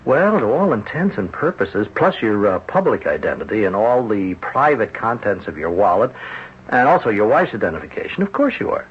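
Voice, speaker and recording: A man acting a patient, gently skeptical psychiatrist in an old-time radio drama, speaking in a hesitant, thoughtful way. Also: lowish voice, very animated. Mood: measured, doubtful. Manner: hesitant, thoughtful, with hums and pauses. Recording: muffled, noisy old radio broadcast recording.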